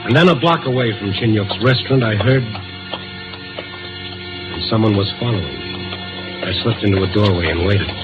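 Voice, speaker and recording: A man's voice: lowish voice, moderate pace, pauses often, close to an everyday voice. An American man playing a hard-boiled, sardonic newspaper reporter in a 1950s radio drama, both narrating and trading clipped lines. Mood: brooding. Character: wisecracking hard-boiled male newspaper reporter on the night beat. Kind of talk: 1950s radio drama acting with moody first-person narration. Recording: muffled 1950s radio recording with music.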